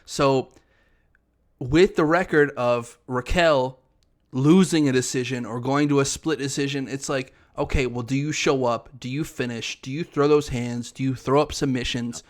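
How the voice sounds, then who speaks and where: A man with a mid-pitched voice co-hosts a casual sports podcast. He talks in slangy, emphatic phrases and banters as he lays out his fight predictions. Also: fairly even intonation, normal speed. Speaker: co-host of an MMA podcast.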